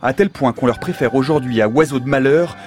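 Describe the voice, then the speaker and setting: A man's voice: medium pitch, brisk. A middle-aged man hosting a radio science show from a studio, reading a dramatic intro and then interviewing with easy fillers. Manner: theatrical scripted delivery, then relaxed with fillers. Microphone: studio microphone.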